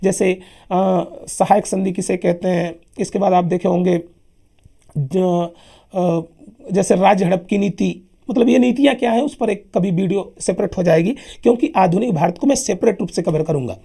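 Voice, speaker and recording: A man with a sore throat teaching a lively history lesson for exams, emphatic and chatty, often calling his listeners sir. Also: sits high, normal speed. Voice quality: husky, gravelly. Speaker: exam coaching teacher. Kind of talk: lively classroom history lecture.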